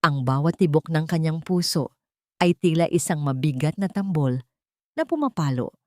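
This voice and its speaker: Filipina lady reading a dramatic love story, lowish voice, really dry recording. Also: expressive, normal speed, dark tone, no room sound. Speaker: narrator of love stories. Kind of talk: dramatic scripted audio story narration.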